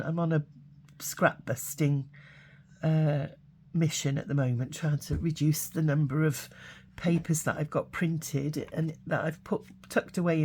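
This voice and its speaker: A woman speaks in a hoarse, low voice, roughened by a cold. Her tone is mellow and unhurried, and she talks casually with plenty of ums and uhs. A faint background hiss sits under the recording. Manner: chatty, halting, many fillers. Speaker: craft channel host. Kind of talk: casual craft flip-through.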